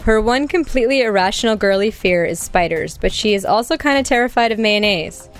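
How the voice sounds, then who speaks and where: A woman's voice: medium pitch, animated, brisk, soft background music. A woman hosting a podcast dating game, reading contestant bios in a scripted way. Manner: reads contestant bios smoothly. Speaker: podcast host.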